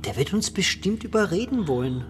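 A man's voice: high voice, big pitch swings, a little fast, background noise. An actor playing a timid, submissive man around forty, fretful and easily cowed, in a radio drama. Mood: fearful. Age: 40s. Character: anxious, submissive, blamed younger-middle-aged sibling or partner. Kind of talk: German-language radio drama.

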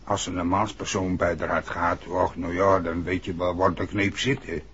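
Husky, chatty village innkeeper. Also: male voice, deep voice, little pitch movement, normal speed, a bit bright, exaggerated voice. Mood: confiding, chatty. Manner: colloquial, folksy, peppers speech with tag questions. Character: chatty, gossipy village innkeeper, plain-spoken older man. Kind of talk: 1960s radio drama acting. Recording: muffled old radio recording.

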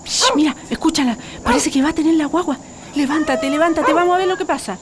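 An actress playing an anxious, caring Chilean neighbour who speaks urgently and repeats herself in a radio drama. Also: female voice, high-pitched, fast talker, background noise, lightly acted. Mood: urgent, hushed. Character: anxious, caring working-class neighbour woman. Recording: music behind the voice.

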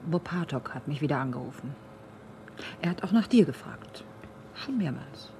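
A woman's voice: deep voice, animated, moderate pace, mellow, a little hiss or hum. An actress playing an earnest, worried woman pleading with her lover in a radio drama, speaking seriously and with some hesitation. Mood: anxious. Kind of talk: German radio drama acting.

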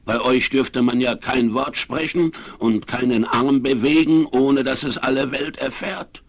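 A man's voice: medium pitch, fairly even intonation, measured pace. Blustering old Wild West frontiersman. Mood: angry, threatening. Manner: blustering, scornful. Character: gruff, mocking, hot-tempered little old Western frontiersman. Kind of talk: 1970s German audio drama acting. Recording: noisy 1970s vinyl radio-play recording.

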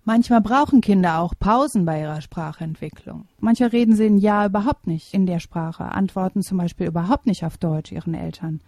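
Thoughtful middle-aged woman, mellow voice. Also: mid-range voice, no room sound. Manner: thoughtful, measured, with hesitations. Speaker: interviewee, German teacher. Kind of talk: conversational interview answers.

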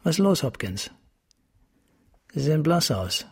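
An actor playing a middle-aged, grieving and vengeful police captain in a radio drama, formal, bitter and menacing. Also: male voice, a little high, sing-song, a little slow, gravelly, a little mellow, slightly rough, exaggerated voice. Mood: accusing.

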